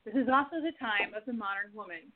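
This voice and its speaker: A woman's voice: mid-range voice, fast, slight background noise. A woman reading the narration and stage directions of an amateur radio play. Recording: tinny phone-like recording.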